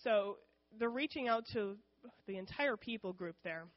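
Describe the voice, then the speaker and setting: A woman's voice: medium pitch, lively intonation, moderate pace. Casual woman, muffled recording. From United States. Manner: conversational, frequent ums, candid. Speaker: missionary speaking to her church. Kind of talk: informal church missions presentation.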